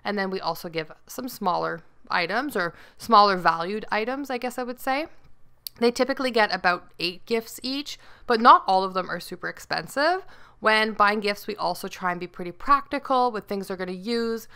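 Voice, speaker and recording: A woman's voice: mid-range voice, expressive, normal speed, bright, forceful tone, smooth. A woman in her thirties chatting casually to the camera as a vlogger in her home, upbeat with lots of "like" and "um". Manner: chatty, upbeat, many fillers. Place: home dining room and kitchen.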